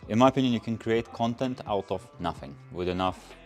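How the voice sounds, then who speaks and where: A man's voice: fairly low pitch, brisk, soft background music. A young man from Latvia, not a native English speaker, casually answering chat questions on a livestream and rambling with lots of fillers. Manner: loose and rambling, many fillers and restarts. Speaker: car channel host.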